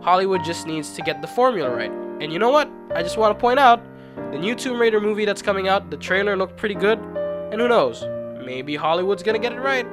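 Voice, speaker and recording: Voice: medium pitch, animated, fast. A video essayist narrating in a casual, informal and self-deprecating way, as if reading a loose script. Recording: music playing behind the voice. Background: background music.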